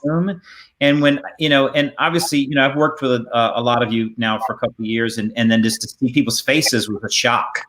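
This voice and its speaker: A middle-aged man hosts an informal theater talk on a video call. His voice sits at an average male pitch. He feels his way through sentences, stopping and restarting as he goes. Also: fairly even intonation, a little fast, fairly bright tone, low-quality recording. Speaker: theater host introducing cast. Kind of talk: casual promotional chat. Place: indoors at home or studio, on a video call.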